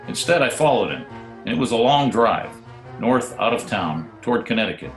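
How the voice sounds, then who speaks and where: An actor playing a wisecracking, sardonic private detective who also narrates, in a 1940s-style radio drama. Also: male voice, medium pitch, lively intonation, moderate pace, lightly acted. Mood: matter-of-fact. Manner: dry, sardonic. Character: wisecracking hard-boiled private detective, narrating his case. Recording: roomy remote home recording, faint music.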